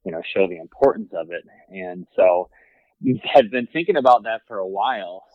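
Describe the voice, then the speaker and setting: A man's voice: sits low, lively intonation, normal speed, no echo, phone-quality sound. A man guesting on a hunting podcast, talking in an easygoing, hesitant way with lots of ums and likes. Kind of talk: casual podcast conversation.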